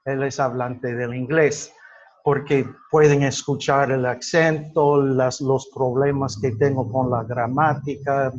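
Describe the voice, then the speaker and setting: A man whose first language is English, giving a classroom lecture in Spanish, halting with many fillers and restarts. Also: medium pitch, little pitch movement, highs cut off, a little hiss or hum. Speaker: teacher.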